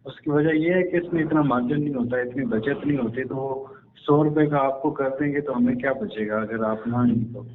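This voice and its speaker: A man's voice: medium pitch, moderate pace. A man in his forties with a Pakistani accent, politely and repeatedly explaining himself in a casual phone conversation. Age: 40s. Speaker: mobile top-up shopkeeper. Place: small shop, over the phone. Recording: tinny phone line with background noise.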